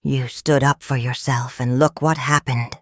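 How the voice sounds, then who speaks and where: A woman voicing a gentle, supportive father in a storybook narration, speaking in a reassuring, thoughtful way. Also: rumbling low, steady tone, measured pace, dry recording, studio quality, voice pushed down. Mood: proud.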